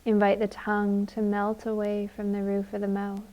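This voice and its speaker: A woman leading a guided meditation in a gentle, soothing manner, leaving long unhurried pauses. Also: mid-range voice, completely flat delivery, highs cut off.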